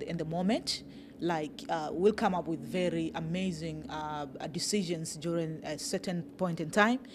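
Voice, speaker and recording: A man interviewing a guest at an event, asking long halting questions with many fillers and restarts. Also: a little high, slight background noise. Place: event venue, seated on sofa.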